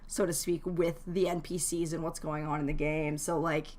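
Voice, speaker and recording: A woman with a slightly low voice talks casually on a livestream. She is chatty and jokey, offering advice to her viewers while rambling and restarting her thoughts as she addresses the chat. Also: little pitch movement, moderate pace, darkish tone. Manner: jokey, laughs easily. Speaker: game streamer.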